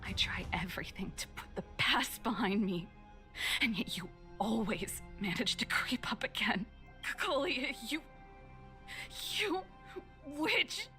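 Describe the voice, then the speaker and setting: A woman's voice: fairly high pitch, expressive, measured pace, pushed and bright, soft background music, full character voice. A woman voicing an emotional, fiery young woman scientist in a video game. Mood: anguished. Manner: emotional, pleading.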